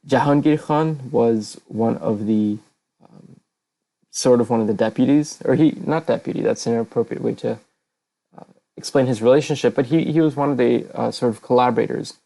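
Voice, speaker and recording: A man's voice: medium pitch, gravelly, warm tone, poor audio. A man presenting a casual slide lecture over a voice channel, hesitant, with many fillers and self-corrections. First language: not Kazakh. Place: online voice channel talk.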